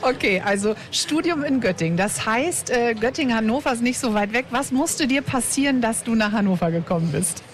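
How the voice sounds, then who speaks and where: A woman with a fairly high voice hosts a live radio broadcast from an outdoor hotel terrace at a festival. She asks her guest chatty, encouraging questions and keeps the conversation moving with quick affirmations. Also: lively intonation. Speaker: radio host.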